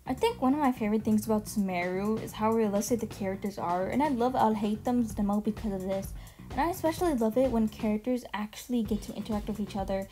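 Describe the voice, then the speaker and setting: A young woman giving casual, gushing ranking commentary in a voice-over, slangy and quick to swear. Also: fairly high pitch, warm tone. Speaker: video essay host. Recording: music behind the voice. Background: music.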